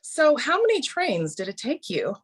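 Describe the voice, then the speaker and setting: A woman playing a brisk, knowing office manager in her twenties in an amateur table read of a TV drama, businesslike and instructive. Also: high-pitched, huge pitch swings, slightly rough, heavily acted.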